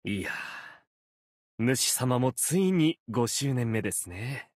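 A man's voice: medium pitch, very animated, slow, dry recording, clean studio sound. A man voicing a proud, playful fox-spirit swordsman in a video game, speaking in polite, archaic, theatrical phrases. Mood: delighted, devoted. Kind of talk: Japanese video game character voice lines.

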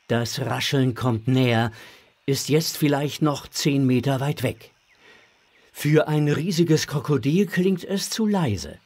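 Husky male storyteller. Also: medium pitch. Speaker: narrator. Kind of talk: scripted narration of a children's audio drama.

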